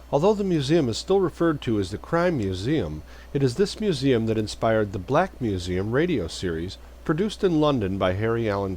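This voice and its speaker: A man's voice: medium pitch, darkish tone, a little hiss or hum. A man reading a scripted, informational narration.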